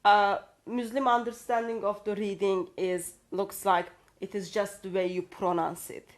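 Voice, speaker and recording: A woman's voice: mid-range voice, flat delivery, measured pace. Woman, guest, hesitant, fillers and restarts, reads references. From Turkey. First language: Turkish. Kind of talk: conversational discussion.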